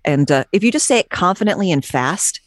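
A woman, a podcast guest, reading out facts from her notes in a casual chat and tossing in wry, deadpan jokes. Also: on the low side, brisk, dry recording, clean studio sound. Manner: wry, jokey asides, deadpan delivery.

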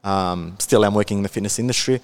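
A man in his twenties with an Australian accent and a fairly low voice. He talks as a guest on a podcast, relaxed and chatty, with plenty of fillers and easy laughs. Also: fast. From Melbourne, Australia. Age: 20s. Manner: chatty, laid-back, many fillers, laughs often. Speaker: guest, content creator. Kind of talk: casual podcast interview.

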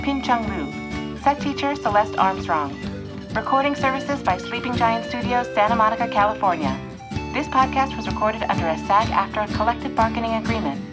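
Woman reading credits over loud music. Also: fairly high pitch, normal speed. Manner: steady, even reading. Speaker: credits announcer.

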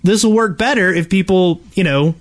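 A man hosting a casual gaming podcast, telling stories in an animated, conversational way and acting out exchanges. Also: high voice, moderate pace. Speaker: podcast host.

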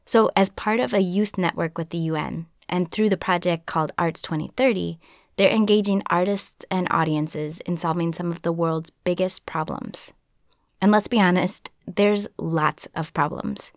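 A woman from Minnesota hosting a podcast, narrating a script in an earnest, measured way. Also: on the low side, dry recording, studio quality. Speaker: podcast host.

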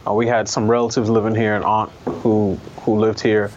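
A man born in Barbados and raised in New York, telling his family story in an interview in a thoughtful, measured way with frequent pauses. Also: lowish voice, level tone, a little mellow, slight background noise. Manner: thoughtful, measured, many ums and restarts. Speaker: guest columnist. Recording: slightly dull lo-fi sound.

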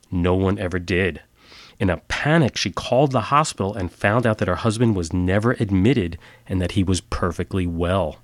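A man's voice: low-pitched, no echo. A man hosting a podcast, reading odd true stories in a plain, matter-of-fact way, a little nasal from a cold. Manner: plain matter-of-fact delivery with filler uhs in sign-off. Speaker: podcast host. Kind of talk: scripted storytelling narration.

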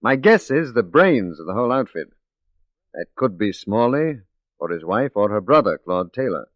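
An actor playing a resolute, righteous detective in a 1940s radio drama, speaking in a measured, emphatic, theatrical way. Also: male voice, fairly low pitch, big pitch swings, no echo. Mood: resolute, grave. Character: resolute, righteous male detective working undercover as a secretary. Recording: muffled 1940s radio recording.

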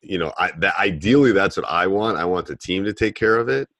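A man with a deep voice giving coaching advice in a casual, plain-spoken way, often repeating himself. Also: expressive, a little fast, fairly bright tone, no room sound. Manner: plain-spoken, repeats phrases, acts out what he tells players.

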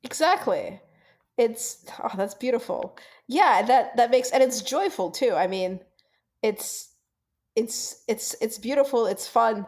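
A woman's voice: a little high, animated, slow pace, a little mellow. A woman from Russia with a Russian accent, talking in a casual discussion and often restarting her sentences as she thinks. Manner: thoughtful, with frequent restarts and repeated words.

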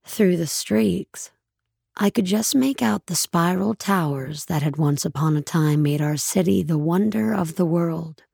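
An actress playing a numb, grieving woman narrating the end of her world in a somber, measured, husky voice for an audio drama. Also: fairly low pitch, darkish tone, dry recording, studio quality, heavily acted. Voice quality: husky, gravelly. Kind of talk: dramatic first-person audio fiction narration.

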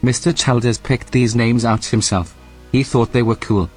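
An actor playing a literal, unflappable station computer assistant with a formal, deadpan manner in a science-fiction audio drama. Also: male voice, medium pitch, normal speed, husky, faint music behind, natural voice. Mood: deadpan, matter-of-fact.

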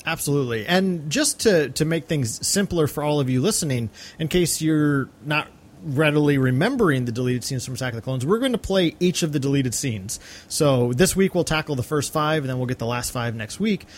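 Higher-pitched man co-hosting a podcast. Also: animated, brisk. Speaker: podcast co-host. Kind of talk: casual film discussion.

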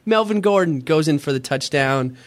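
Excitable young man with a squeaky voice. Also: moderate pace. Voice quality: high squeaky voice. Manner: excitable, cheers at the game, jokey. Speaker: podcast co-host. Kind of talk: casual fantasy sports podcast chat.

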